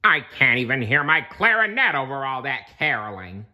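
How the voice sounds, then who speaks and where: A man's voice: mid-range voice, big pitch swings, moderate pace, pitched above the performer's own voice. A man voicing a grumpy cartoon squid from a children's storybook, complaining with sarcasm and exasperation. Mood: annoyed. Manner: sarcastic, complaining, prone to shouting outbursts. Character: grumpy, exasperated male cartoon squid who loathes Christmas.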